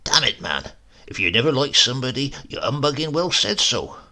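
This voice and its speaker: A man voicing a gruff, unrepentant old countryman who tells tall tales in thick rustic speech. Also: medium pitch, big pitch swings, brisk, bright, forceful tone. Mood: gruff defiant. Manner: dialect-heavy, crusty, grumbling. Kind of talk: storybook reading.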